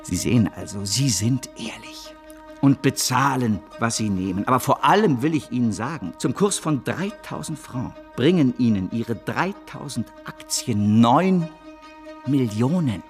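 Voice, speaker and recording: An actor playing a domineering, persuasive financier in a German radio drama, grandiose, cajoling and impatient. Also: male voice, mid-range voice, lively intonation, measured pace, soft background music. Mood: cajoling, triumphant. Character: domineering, persuasive financier intoxicated by his own schemes.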